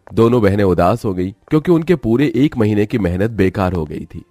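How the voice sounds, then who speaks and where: A man's voice: fairly low pitch, expressive, fairly quick, dark tone, no echo, clean studio sound, faint music behind. A man narrating a scripted moral story as a storyteller.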